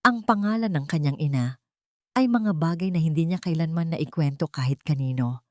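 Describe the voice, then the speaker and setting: Woman narrator, lowish pitch, solemn dramatic storytelling, measured delivery, dry studio-like sound. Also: no room sound. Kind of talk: scripted dramatic narration of an audio romance drama.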